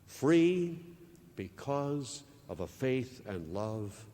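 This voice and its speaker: This is a male pastor preaching with fervor, his pitch leaping and falling as he lingers on each phrase. His voice carries a long hall reverb, as if from a church sanctuary. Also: big pitch swings, drawn-out words, heavy background noise. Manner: impassioned, dramatic swings in pitch and volume. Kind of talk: televised sermon.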